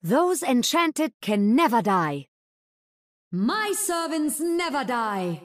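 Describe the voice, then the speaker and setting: A woman's voice: sits high, sing-song, slow, heavily acted. An actress voicing a sinister, commanding witch in a video game, theatrical and imperious. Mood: menacing, triumphant. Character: sinister, commanding witch casting dark spells. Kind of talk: video game character voice lines.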